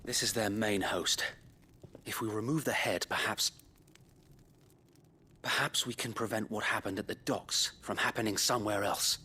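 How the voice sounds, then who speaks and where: A loyal, aging British knight from a fantasy game. His voice is husky, weathered and on the low side. He speaks in formal, old-world phrases with grim earnestness, like a seasoned rebel leader. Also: sharp tone, full character voice. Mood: determined. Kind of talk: dramatic video game voice acting.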